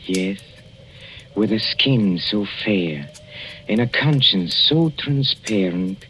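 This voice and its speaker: Middle-aged husband, unhurried, cloyingly doting, quietly menacing, old noisy radio drama. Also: male voice, lowish voice, lively intonation. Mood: needling sweetness. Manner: fussy, cooing endearments, soft chuckling. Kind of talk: 1940s radio acting.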